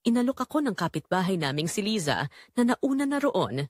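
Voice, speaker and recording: Woman acting a confessional Filipino wife, lively intonation. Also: medium pitch, dry recording, clean studio sound. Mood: confessional, wistful. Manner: confessional, measured, reflective. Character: Melissa, unhappy wife and mother confessing her betrayal. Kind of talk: first-person scripted audio drama narration.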